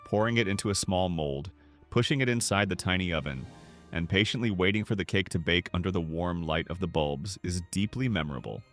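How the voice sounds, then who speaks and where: A man's voice: sits low, fairly bright tone. A man reading scripted nostalgic documentary narration.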